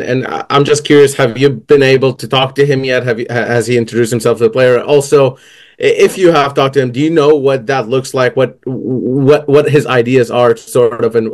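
A man's voice: mid-range voice, fairly even intonation, brisk. A male sports reporter firing off several stumbling, curious questions in a row.

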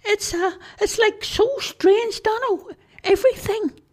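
A man voicing a timid, jittery male character who stammers in a very high, squeaky voice. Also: a little slow, darkish tone. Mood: nervous. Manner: stammering, panicky.

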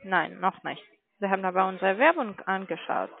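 A woman's voice: mid-range voice, animated, moderate pace, creaky. A woman on a sales call, formal, polite but firm. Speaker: call centre sales agent. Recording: narrow phone-line audio.

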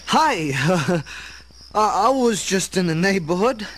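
Radio-play sweetheart: young, bashful, earnest New Yorker, high lively voice. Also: male voice, takes it slowly, a little hiss or hum, exaggerated voice. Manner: stammering, earnest. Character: shy, awkward, eager young Brooklyn man.

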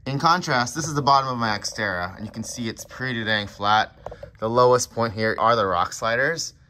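A man in his thirties talks through a truck repair in a relaxed, plainspoken way. His voice sits at an ordinary middle pitch, and he explains each step as if to a neighbor in his garage. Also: normal speed, slight background noise. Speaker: garage channel host. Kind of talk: how-to voice-over narration.

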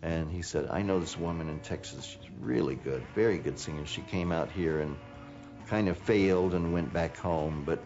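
A man's voice: low-pitched, normal speed, a little mellow, lo-fi sound, faint music behind. A man recalling events in a matter-of-fact storytelling way in a documentary interview.

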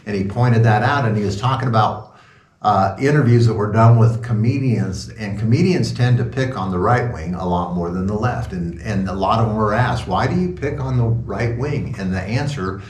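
A man's voice: on the low side, moderate pace, darkish tone. A man in his sixties, a pastor, giving an informal, rambling sermon talk with sarcastic asides. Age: 60s. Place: small wood-paneled room indoors. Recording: roomy echo, distant from the mic.

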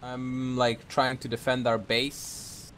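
A man chatting casually with a teammate while gaming, swearing freely in a halting way. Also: mid-range voice, monotone, a bit bright, slight background noise. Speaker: video game player.

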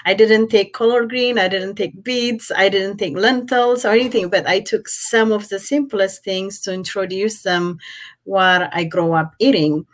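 A woman's voice: mid-range voice, fairly even intonation, a little slow. A middle-aged woman from Ethiopia with an Ethiopian accent, telling her story conversationally as an interview guest on a video call. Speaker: interview guest, restaurant owner. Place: indoor room at home, on a video call. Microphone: computer mic on a video call.

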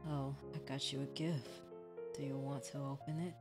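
A woman plays an obsessive young male stalker from a visual novel. The voice is low and accusing, pleading with jealous menace. Loud music plays along. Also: flat delivery, normal speed. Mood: cold, menacing.

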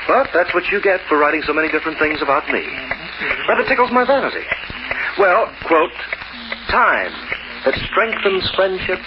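Vain pompous time narrator in old radio drama, super animated, really noisy recording. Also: male voice, a little high, muffled recording. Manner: theatrical, self-satisfied, pompous. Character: vain, whimsical personified Time, a quoting male narrator.

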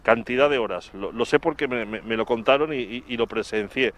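A middle-aged man with an accent from Spain, reporting live outdoors in a wordy, halting way, repeating words as he recalls things. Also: medium pitch, a bit bright. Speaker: television reporter. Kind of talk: live report, spoken recollection. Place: outdoors in front of an office building. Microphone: handheld microphone, through a face mask.

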